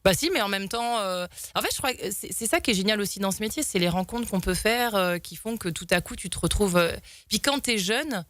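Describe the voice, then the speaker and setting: A woman's voice: mid-range voice, lively intonation, fairly quick, hardly any pauses, a bit bright, dry recording, studio quality. A young woman guest telling stories in a talk show, rambling with many fillers and restarts. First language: French. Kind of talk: casual storytelling in an interview.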